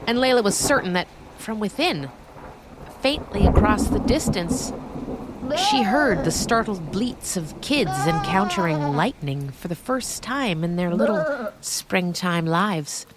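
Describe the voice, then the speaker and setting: A woman storyteller reading scripted fiction with dramatic, measured phrasing and deliberate pauses. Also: mid-range voice, lively intonation, heavy background noise. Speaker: storyteller, podcast narrator.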